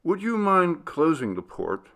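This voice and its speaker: A man voicing a weary, ailing gentleman in a storybook reading, with a polite, hesitant, dryly humorous manner. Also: fairly high pitch, big pitch swings, a little slow, voice pushed up, exaggerated voice.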